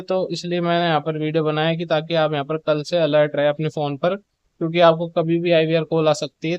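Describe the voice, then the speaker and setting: A man's voice: a little high, clear, resonant tone, no echo, dull audio. A man presenting updates in an informal, instructional explainer style, with repetitive phrasing. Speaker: video presenter giving show updates.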